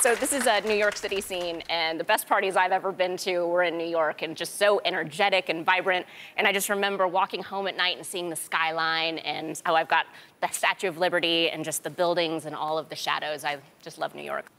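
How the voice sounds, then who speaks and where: A woman's voice: on the low side, steady tone, fairly quick, few pauses, a bit bright, a faint crowd. An American woman in her thirties giving enthusiastic, conversational answers as a guest artist in an interview. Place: large TV studio with audience. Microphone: lapel mic. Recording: studio audience faintly behind.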